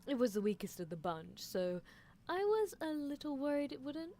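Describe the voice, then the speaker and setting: A woman's voice: high-pitched, very animated, normal speed, warm tone. A woman chatting casually as the host of an ASMR livestream. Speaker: ASMR roleplay streamer.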